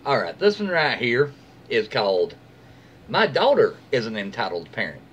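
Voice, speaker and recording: Middle-aged man reading aloud, lively pitch. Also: normal speed, muffled recording, slight background noise. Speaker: story-reading host. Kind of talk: reading forum stories aloud with casual asides. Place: small room at home, seated in an armchair.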